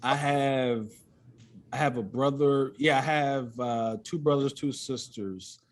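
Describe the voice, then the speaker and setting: A man's voice: medium pitch, slow. An American man talking casually as a comic guest, telling stories in a self-deprecating, joking way and repeating phrases as he builds a bit. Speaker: stand-up comic and podcast guest. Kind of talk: casual comedic storytelling.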